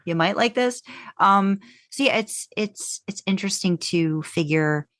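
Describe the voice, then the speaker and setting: A woman's voice: mid-range voice, lively intonation, a little slow, fairly bright tone. A woman co-hosting a podcast, chatting casually with lots of "like" and agreeing "mm-hmm"s. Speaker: podcast co-host.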